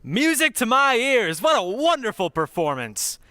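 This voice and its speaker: Guy announcing an old time radio show, high voice, super lively. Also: normal speed, no room sound.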